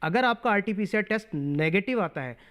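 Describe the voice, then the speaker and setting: Doctor, man, high voice, patient explainer, sprinkles in English terms. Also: expressive, brisk, a bit bright. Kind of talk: medical advice on a call-in show.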